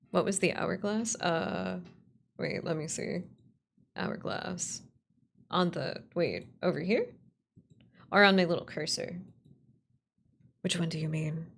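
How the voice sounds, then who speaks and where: Creaky-voiced woman chatting on a livestream. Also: lowish voice, a little hiss or hum. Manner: rambling, playful, swearing, coddling viewers. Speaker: streamer, writer and voice actor. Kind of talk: casual livestream chat with viewers.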